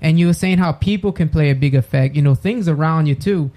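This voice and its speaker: Guy chatting about writing, mellow voice a bit high, earnest and loose, lots of "like". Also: brisk. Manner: earnest, loose, many filler words. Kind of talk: casual chat.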